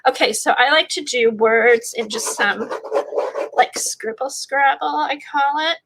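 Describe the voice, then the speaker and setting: Woman, congested voice, slow unhurried pace, rambling craft demo, hissy background noise. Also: high voice. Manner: rambling, thinking aloud while working. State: congested from a sinus infection. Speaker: art livestream host. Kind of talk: casual art demonstration.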